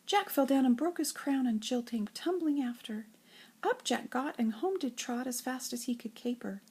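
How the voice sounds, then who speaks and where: An older woman with a breathy, husky voice reads nursery rhymes like a grandmother, in an expressive singsong storytelling way. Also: high-pitched, moderate pace. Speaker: grandmother reading to children.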